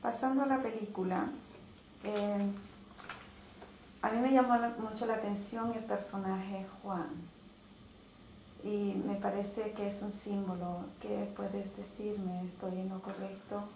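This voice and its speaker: A woman's voice: medium pitch, level tone, measured pace, darkish tone. A woman interviewing a film director with casual, slightly hesitant questions. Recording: roomy with faint hiss.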